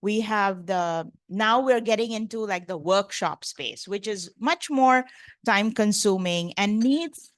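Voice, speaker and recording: A woman's voice: mid-range voice, slow pace, no room sound. A woman from India giving a casual conference lecture, chatty and full of fillers like "like" and "you know". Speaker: professor lecturing.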